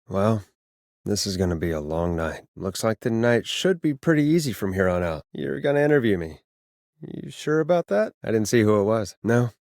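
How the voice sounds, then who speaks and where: A man's voice: lowish voice, a little mellow, no room sound, clean studio sound. An actor playing a sardonic, easily exasperated male late-night radio host in a video game, with a wry, conversational delivery.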